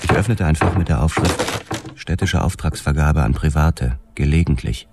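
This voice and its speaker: An actor playing a haunted, hard-boiled police detective, narrating a noir radio drama in measured, clipped phrases. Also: male voice, a bass voice, heavy background noise. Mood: tense, methodical. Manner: measured, clipped, grim storytelling. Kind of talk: scripted first-person noir crime narration, radio drama.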